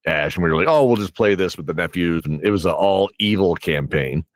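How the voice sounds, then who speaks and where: Male host, lively swooping intonation, close dry sound, jokey conversational banter with chuckles. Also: sits low, brisk, dry recording. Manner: jokey, chuckles while talking. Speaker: interview host and game master. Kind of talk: casual bantering interview chat.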